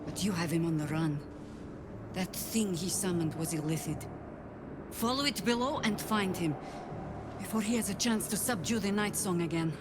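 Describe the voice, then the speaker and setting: An actress playing a resolute, composed battle ally in a video game, speaking in a wry, formal, measured way. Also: female voice, fairly low pitch, close to an everyday voice. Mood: brisk, wry. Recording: loud noise behind the voice.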